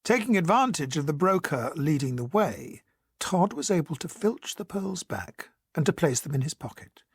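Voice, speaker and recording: An actor playing the narrator of a period penny dreadful audio drama, delivering formal, literary scripted storytelling. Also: male voice, on the high side, big pitch swings, moderate pace, slightly rough, no room sound, heavily acted. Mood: wry, narrating.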